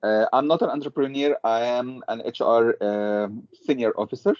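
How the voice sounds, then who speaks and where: A man's voice: mid-range voice, moderate pace, darkish tone, no room sound. A man from Egypt introducing himself in class, modest and hesitant, with many uhs. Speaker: student. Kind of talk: self-introduction. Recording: dry, dull lo-fi audio.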